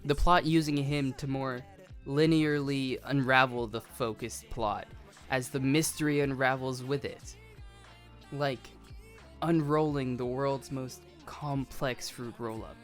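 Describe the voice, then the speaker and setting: A medium-pitched video essayist delivers scripted commentary on anime. The delivery is conversational and self-aware, with guitar music playing behind the voice. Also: fairly even intonation, takes it slowly.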